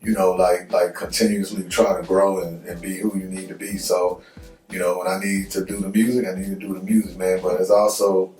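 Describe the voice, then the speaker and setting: Guest, man in his fifties, very deep and flat, reflective, off-mic in an echoey room. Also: far from the mic, soft background music. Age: 50s. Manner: reflective, unhurried, fills with "you know what I'm saying". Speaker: guest, record label owner. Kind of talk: casual podcast interview.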